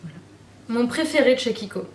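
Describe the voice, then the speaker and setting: A woman's voice: a little high, animated, fairly quick, distant mic, slight background noise. A woman in her thirties from Normandy, chatting casually to camera as a beauty vlogger, gushing and repeating herself with many fillers. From Normandy, France. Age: 30s. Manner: gushing and repetitive, trails off with fillers. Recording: echoey room, off-mic.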